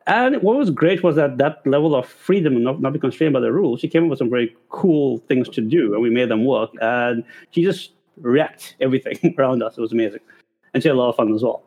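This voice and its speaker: A man's voice: medium pitch, expressive, quick pace, a little mellow. A man from Cape Town, chatting on a panel about gaming, rambling with chuckles and restarts. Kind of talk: casual chat on a panel.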